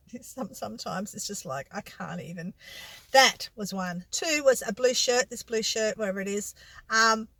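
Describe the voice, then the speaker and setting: A middle-aged Australian woman chats in a casual vlog style, wandering through her thoughts and correcting herself in everyday colloquial language. Her voice sits at an average pitch for a woman, and the sound is dry, with very little room around it. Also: normal speed, a bit bright, dry recording. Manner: rambling, self-correcting, colloquial. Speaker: vlogger and secondhand reseller. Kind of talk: casual chatty vlog monologue.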